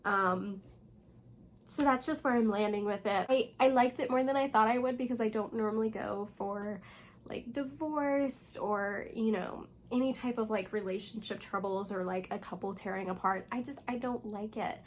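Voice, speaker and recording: A young woman with a hoarse voice, still recovering from losing it, chatting casually about books in a vlog and rambling with lots of fillers. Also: medium pitch, normal speed. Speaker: booktuber. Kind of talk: casual reading vlog chat.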